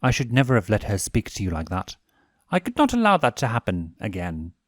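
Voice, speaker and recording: A man acts out a refined owl demon prince for a story reading. The voice is theatrical and affectionate, with formal, courtly phrasing. The pitch swings widely, and the sound is dry. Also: huge pitch swings, fairly quick, no echo. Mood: firm, tender. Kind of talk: fan fiction story reading.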